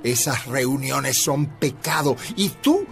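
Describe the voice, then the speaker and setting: A man's voice: mid-range voice, lively intonation, slow pace, gravelly. A man playing a stern male church elder who scolds a member in a radio drama, with music faintly underneath. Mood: accusing. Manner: stern, accusing.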